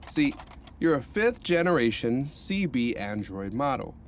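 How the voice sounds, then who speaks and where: An actor playing a cheerful male counselor who talks in an animated, rambling way. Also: medium pitch, measured pace, slight background noise. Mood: cheery. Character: cheerful male rehab counselor, upbeat and chatty.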